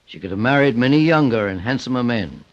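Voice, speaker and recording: An actor playing a calm, relentless male private detective in old-time radio style, deliberate, formal and accusatory. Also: mid-range voice, close to an everyday voice. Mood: stern, condemning. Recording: muffled vintage radio recording.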